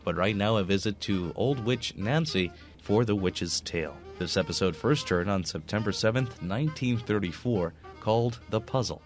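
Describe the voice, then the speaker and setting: A man with a fairly low voice hosts a radio program. He reads scripted introductions and sign-offs over faint music. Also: moderate pace. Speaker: radio host. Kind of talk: scripted narration, radio presenting.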